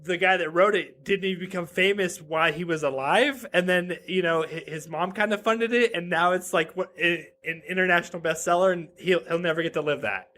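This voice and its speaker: A man's voice: high-pitched, fairly quick, hardly any pauses, bright, forceful tone. A man in his thirties from Nevada, a podcast guest chatting casually, joking and full of "like" fillers and restarts. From Reno, Nevada. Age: 30s. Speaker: podcast guest, web developer. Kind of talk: casual interview chat.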